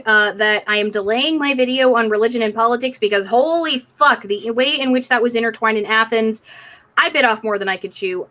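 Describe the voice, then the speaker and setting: A woman's voice: fairly high pitch, fairly bright tone. A woman hosting a live community discussion stream, speaking in an emphatic, outspoken, casual way. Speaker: livestream host.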